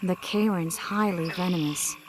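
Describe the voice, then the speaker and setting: Sardonic sorceress lady from a game, voiced by an actress, kinda low voice, sure of herself, explains stuff like a scholar. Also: a little slow, noisy background, natural voice. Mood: brisk, advising. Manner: articulate, lecturing, wry. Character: confident, learned, sardonic sorceress. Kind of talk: video game voice acting.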